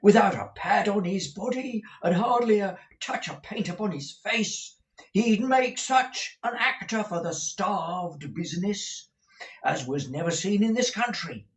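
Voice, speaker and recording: A man voicing a bombastic middle-aged theatre manager, loud and theatrical, in a roomy echo. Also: high voice, animated, a little slow, far from the mic, voice pushed up. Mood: horrified.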